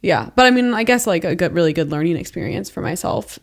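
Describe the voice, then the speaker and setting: A woman's voice: lowish voice, lively intonation, quick pace, gravelly. Candid woman in her twenties chatting. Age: 20s. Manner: frequent "like" fillers, candid and profane. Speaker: podcast co-host. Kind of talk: casual chat.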